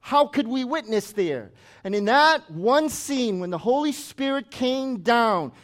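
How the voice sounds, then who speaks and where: A man's voice: very high voice, expressive, a little slow, fairly bright tone. A man who grew up in the United States, preaching a sermon as pastor in a conversational way with chatty asides.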